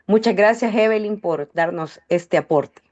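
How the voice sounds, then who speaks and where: A woman's voice: mid-range voice, a little slow, a little mellow, muffled recording. A woman hosting a health testimonial session, talking in loose run-on phrases with frequent restarts. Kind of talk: warm conversational hosting.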